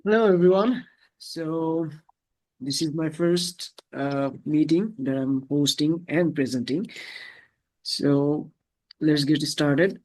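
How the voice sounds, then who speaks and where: A man from Bangladesh walks through slides on a video call. His voice sits a little high, and he speaks haltingly, filling pauses with small fillers. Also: darkish tone, rough recording. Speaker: presenter. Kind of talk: slide presentation.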